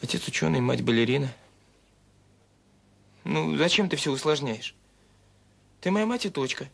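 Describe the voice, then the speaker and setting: A young student son in a radio drama, husky, kinda guarded, talks casual and coaxing. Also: male voice, on the high side, lively intonation, normal speed, exaggerated voice. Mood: defensive, pleading. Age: young adult. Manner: colloquial, evasive, coaxing. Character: guarded, self-conscious student son confiding in his mother. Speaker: actor in an audio drama. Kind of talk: naturalistic radio drama dialogue.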